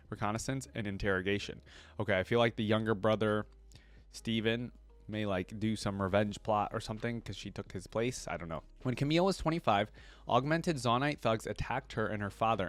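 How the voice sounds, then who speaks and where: A man hosting a reaction video, mixing casual, enthusiastic commentary with reading aloud and his own interjections. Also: on the low side. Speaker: video host reacting to game character lore.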